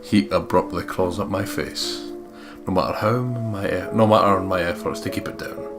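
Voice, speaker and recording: A man with a low voice narrates and comments on a visual novel in a casual let's play style. Game music plays behind him. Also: steady tone, normal speed. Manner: casual and sweary. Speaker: let's play co-host reading aloud.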